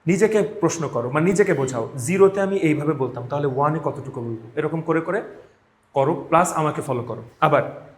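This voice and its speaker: A man's voice: on the high side, brisk. A man with a Bangladeshi accent teaching a live class, emphatic, repeating phrases and checking that students follow. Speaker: spoken English teacher. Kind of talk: live classroom instruction with drills. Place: classroom, indoors. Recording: echoey room.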